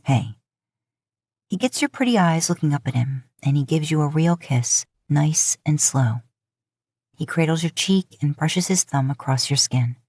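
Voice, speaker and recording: Low-pitched woman narrating romantic fiction. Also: lively intonation, moderate pace, darkish tone, husky, dry recording.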